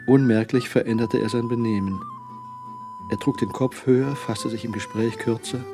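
An actor narrating a crime radio drama in a measured, brooding manner. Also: male voice, medium pitch, natural voice.

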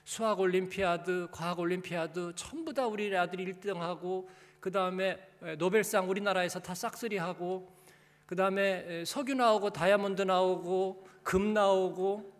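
A man's voice: high voice, fairly even intonation, moderate pace. A male pastor preaching a sermon in a conversational way, putting rhetorical questions and short prompts to his listeners. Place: large reverberant church hall. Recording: echoey hall with long reverb.

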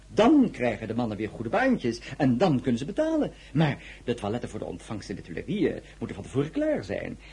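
Radio drama: fawning, nervous merchant's clerk, chatty and hesitant, mid-pitched with big swings in intonation. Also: male voice, a little mellow, slight background noise. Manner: obsequious, chatty, hesitant, stammering. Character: flustered, deferential male shop clerk at a silk merchant's. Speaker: actor.

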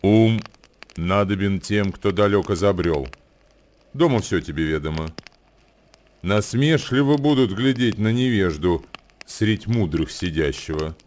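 An actor in a radio play voicing a grave male wanderer who recites ancient wisdom verse in a deliberate, declamatory way. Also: lowish voice, expressive, darkish tone, a little hiss or hum. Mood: grave, reflective. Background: music.

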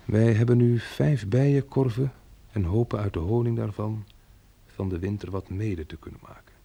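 A man's voice: fairly low pitch, normal speed, dark tone. Een man die in een hoorspel een vermoeide, prikkelbare overlever op een belegerde boerderij speelt, met een rauwe, hese stem. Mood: weary, matter-of-fact. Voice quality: gravelly, husky. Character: weary, irritable male survivor running a besieged farmstead. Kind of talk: radio drama acting with diary narration.

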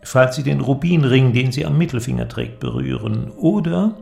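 Grandiose inventor in a radio play, really creaky voice, declaims slowly and deliberately, bit of music behind. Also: male voice, mid-range voice, dark tone. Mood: lecturing, enthusiastic. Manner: declamatory, deliberate, ornate phrasing. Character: eloquent visionary male inventor, grandiose and persuasive. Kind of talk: German literary radio drama acting.

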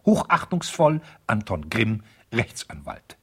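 Radio drama role: pompous, offended older attorney, very raspy, swooping intonation, formal and cutting. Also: male voice, fairly low pitch, very animated, takes it slowly, fairly bright tone, full character voice. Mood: smug, disdainful. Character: pompous, spiteful older lawyer, smugly offended. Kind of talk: radio play, letter read aloud in character.

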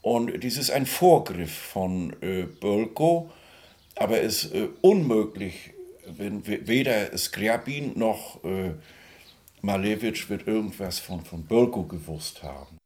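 A man's voice: on the low side, animated, slow, darkish tone, reverberant. A man giving spontaneous, discursive explanations as a music expert, searching for words and often seeking agreement.

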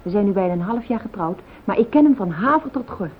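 An actress playing an anxious, fiercely loyal wife, formal and insistent, in a radio play. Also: female voice, lively intonation, quick pace, husky. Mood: composed, worried. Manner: formal, insistent, easily indignant. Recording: tinny old radio recording, hiss, rumble.

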